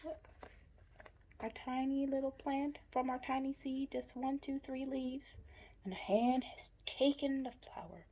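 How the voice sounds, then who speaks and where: A woman's voice: high-pitched, fairly even intonation, unhurried, mellow, slightly rough. A woman commenting on picture book scenes for young children, pointing things out in simple short phrases. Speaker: story reader commenting on pictures.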